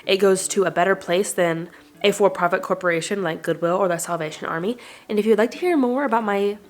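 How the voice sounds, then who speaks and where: A woman's voice: mid-range voice, brisk. A young woman talking in a casual, upbeat, informal way, as a vlogger addressing her viewers. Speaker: vlogger hosting a home declutter channel. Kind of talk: casual chatty vlog.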